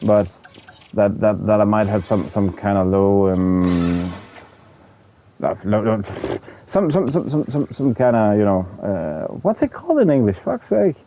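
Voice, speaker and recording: A man with a Danish accent talks to his livestream viewers in a dark, mellow voice. He stammers and repeats words while searching for English terms, and now and then swears in frustration. A faint hiss sits under the recording. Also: lowish voice, big pitch swings, a little slow. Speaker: streamer. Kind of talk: casual livestream chat with viewers.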